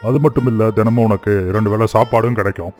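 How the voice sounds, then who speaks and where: A fairly low voice of a village cattle owner acted by a man in an animated moral story. He is practical and benevolent, giving orders and advice with measured authority over soft music. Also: close to an everyday voice. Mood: appraising, businesslike. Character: kindly, practical older village farmer and cattle-owning employer.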